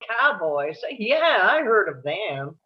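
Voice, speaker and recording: Woman reading a wary old west newcomer guy, swingy pitch, bit muffled. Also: very animated, slow pace. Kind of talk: live table read of a western screenplay.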